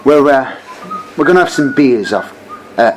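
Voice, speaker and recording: A man's voice: medium pitch, animated, normal speed, noisy background, lightly acted. An actor playing a bitter, blunt young Australian man with slangy speech in a radio play. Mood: apologetic, friendly. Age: young adult.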